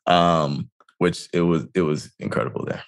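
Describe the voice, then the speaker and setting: A man with an exceptionally deep, low voice talks over a casual chat. He is in his thirties and halting, often restarting his sentences and leaning on fillers. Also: gravelly. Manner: hesitant, frequent restarts and fillers. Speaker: podcast co-host.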